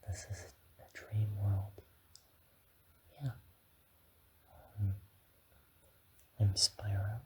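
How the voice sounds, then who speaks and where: A man playing a gentle, encouraging dragon guide in a whispered ASMR guided meditation, speaking haltingly and soothingly. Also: on the low side, level tone, slight background noise, rough recording. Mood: calm, welcoming.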